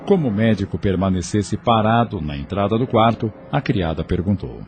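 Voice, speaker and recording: A man's voice: lowish voice, moderate pace, a little mellow, soft background music. A man narrating an audio novel in a scripted, dramatic storytelling style, with faint ambient noise.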